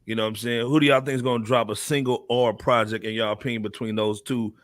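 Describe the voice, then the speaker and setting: A man's voice: mid-range voice, fairly even intonation, fast, dry recording. An American man hosting a casual hip hop talk show, speaking in loose slang and often tagging phrases with "man". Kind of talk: casual panel chat about hip hop.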